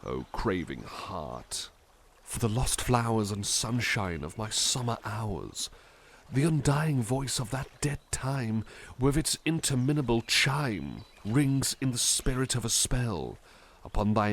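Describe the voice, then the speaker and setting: A man playing a genteel, attentive butler in an ASMR roleplay, speaking gently and reflectively with a love of poetry. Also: fairly low pitch, very animated, slow, heavily acted. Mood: solemn, dramatic recital. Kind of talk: soft-spoken ASMR roleplay with poetry readings.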